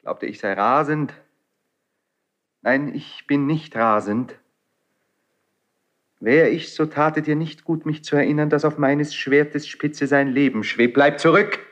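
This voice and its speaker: An actor playing a passionate, defiant young Spanish prince stricken with grief, declaiming classical verse in a 1970s German radio drama. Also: male voice, fairly high pitch, measured pace, pauses often, fairly bright tone. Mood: frenzied, defiant. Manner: declamatory, impassioned. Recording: dull 1970s radio studio recording.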